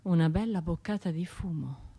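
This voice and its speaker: An actress playing a wounded, bitter bombing survivor in a radio theatre monologue, confiding in colloquial speech. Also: female voice, on the low side, moderate pace, warm tone, husky. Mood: haunted, sardonic. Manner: colloquial, confiding, wry, shifting between narration and imagined voices.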